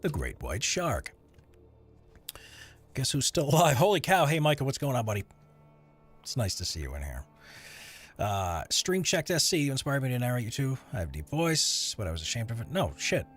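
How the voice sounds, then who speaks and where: A man's voice: medium pitch, wildly swooping pitch, normal speed, long pauses, breathy edge, soft background music. A man streaming while he edits voiceover audio, chatting loosely with his viewers and rambling between tasks. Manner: rambling, chatty, answers chat while working. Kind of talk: casual live-stream chat.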